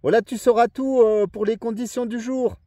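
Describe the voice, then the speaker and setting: A man's voice: extremely high pitch, fairly even intonation, moderate pace, dark tone, no echo, clean studio sound. A man chatting casually as an amateur radio operator, in a friendly, rambling, repetitive way. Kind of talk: casual radio contact chat. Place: outdoors in a forest area, mobile radio.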